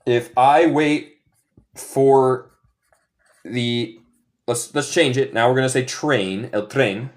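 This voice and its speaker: A young American man from the northern United States with a Midwestern accent, teaching English in Spanish as a second language on a live online class. He repeats each example sentence slowly. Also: medium pitch. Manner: slow, repeats example sentences several times.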